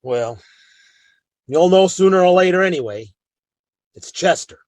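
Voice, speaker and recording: Man playing a high-voiced, stubborn frontier lawman. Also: lively intonation, a little slow, no room sound. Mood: troubled, reluctant. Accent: American. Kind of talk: western radio drama acting.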